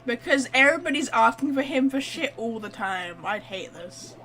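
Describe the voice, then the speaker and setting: A woman giving casual let's play commentary as a game streamer, chatty and expressive. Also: fairly high pitch, normal speed, low-quality recording.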